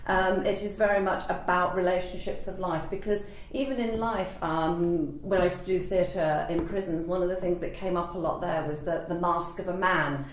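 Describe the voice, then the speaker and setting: Woman, posh-sounding voice, low-ish pitch, animated and chatty, roomy distant sound. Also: normal speed, hardly any pauses, a little hiss or hum. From based in Manchester, England. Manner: animated, chatty, self-deprecating, with frequent ums. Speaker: actress, interview guest. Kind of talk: casual interview chat.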